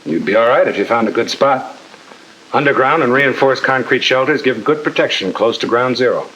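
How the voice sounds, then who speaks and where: An actor playing a tough-talking, slangy army sergeant who lectures in a 1950 military training film. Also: male voice, medium pitch, a little fast, a bit bright, slight background noise, lightly acted, poor audio. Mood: matter-of-fact. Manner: blunt, slangy, wisecracking. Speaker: instructor.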